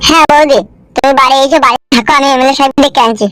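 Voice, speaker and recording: Woman voicing a high, rapid-fire cheeky village lad. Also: over loud music, close to an everyday voice. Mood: cheeky, agitated. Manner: crude, blunt banter. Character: cheeky, naive young village bachelor in cartoon comedy. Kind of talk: cartoon comedy dialogue.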